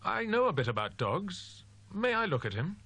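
A male narrator voicing a calm, dependable young male medical student who speaks bluntly and skeptically. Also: high-pitched, normal speed, dry recording, voice pushed up, exaggerated voice. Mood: probing, wary.

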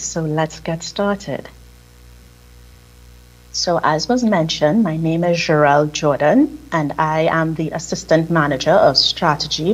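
Husky Trinidadian woman presenting by video call. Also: low voice, low-quality recording. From Trinidad and Tobago. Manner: measured, deliberately slowed delivery. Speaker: insurance presenter. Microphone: video call on laptop, played through room speakers.

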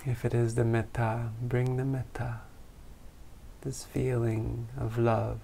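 Man, meditation guide; slow and even delivery, gravelly low edge, calm and gentle. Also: a little hiss or hum. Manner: slow, calm, gentle instructions with long pauses. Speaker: meditation teacher.

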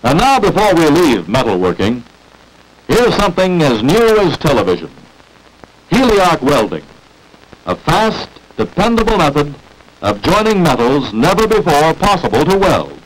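An American man reading scripted narration for a 1940s promotional industrial film, with emphatic, salesmanlike, deliberate phrasing, on an old film soundtrack. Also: on the high side, very animated, rough recording.

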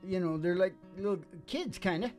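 A man's voice: sits high, normal speed, soft background music. An older man chatting casually on a small stage, joking in a loose, meandering way. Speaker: show host and musician. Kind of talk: rambling casual stage chat. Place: small dim curtained stage. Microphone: stage microphone up close.

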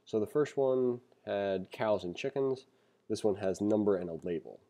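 A man giving a casual read-along programming tutorial, reading aloud and thinking out loud with informal explanatory asides. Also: fairly low pitch, normal speed. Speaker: programming instructor. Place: screen-recorded voice-over.

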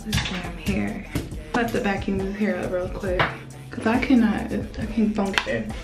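A woman in her late twenties chats to her viewers as she styles her hair, drawling and a bit gravelly. She is slightly sick. Her voice rings in a roomy home space, a little away from the camera mic, with music under it. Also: medium pitch, steady tone, normal speed. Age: 20s. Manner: chatty, drawling, talks to viewers as y'all while doing her hair. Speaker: vlogger. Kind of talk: casual vlog chat to camera. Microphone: small camera on tripod. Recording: roomy echo, mic at a distance, music behind.

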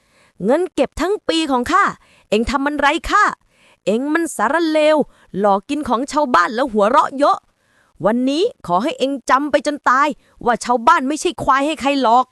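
Woman putting on scolding auntie, high pitch, angry tremble, righteous, storybook, dry recording. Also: lively intonation, normal speed, a bit bright, dry recording. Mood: furious, scolding. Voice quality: trembling with anger.

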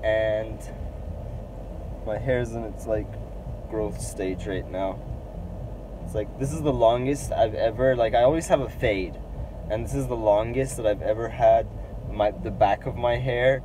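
A man's voice: lowish voice, steady tone, a little slow, long pauses, background noise. A young man talking casually to the camera in a rambling, slangy vlog style, saying "like" often. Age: young adult. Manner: rambling, loose and slangy, with frequent "like". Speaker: vlogger. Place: parked car, later small store fitting room. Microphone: camera or phone at arm's length.

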